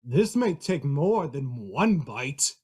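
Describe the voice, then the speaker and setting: A woman voicing a vain, hot-tempered male lion who roars and blusters in a slow, gruff voice. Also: very deep voice, big pitch swings, mellow, full character voice. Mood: menacing.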